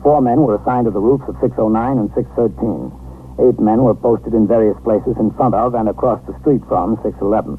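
Actor as measured American police captain, tinny radio. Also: male voice, on the low side, lightly acted. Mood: matter-of-fact. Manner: measured, matter-of-fact. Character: calm, reasonable male police captain, sympathetic and methodical. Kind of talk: 1950s radio police drama, scripted narration and dialogue. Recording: noisy, tinny 1950s radio recording.

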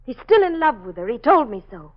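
A woman's voice: high-pitched, very animated, brisk. A woman playing an anxious, insistent young daughter in 1940s radio drama, emotional and pleading. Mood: alarmed, insistent. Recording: muffled 1940s radio recording.

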